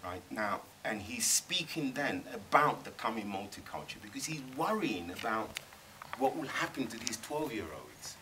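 A middle-aged man speaks on a panel in a cinema screening room. His tone is bright and ringing, and he argues with emphasis, circling back and restarting. A faint hum of the room sits behind him. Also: mid-range voice, very animated, normal speed, hardly any pauses, rough recording. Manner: thoughtful, emphatic, many restarts. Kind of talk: panel discussion.

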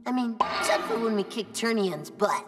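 Man as high, goofy teenage boy hero. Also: expressive, normal speed, a bit bright, soft background music. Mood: indignant, slangy. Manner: slangy, laid-back, comic. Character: goofy, excitable teenage boy shapeshifting superhero slacker. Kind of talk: cartoon video game acting.

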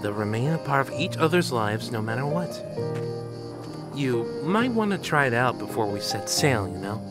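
A man's voice: mid-range voice, sing-song, normal speed, loud music behind the voice, lightly acted. A man playing a cool, teasing and pensive teenage boy in a manga dub, smug yet thoughtful.

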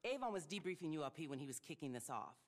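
Middle-aged government boss, female, stern, blunt, exasperated, lively intonation, video game. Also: low-pitched, very fast. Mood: brisk, firm. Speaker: government official. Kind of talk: video game cutscene acting.

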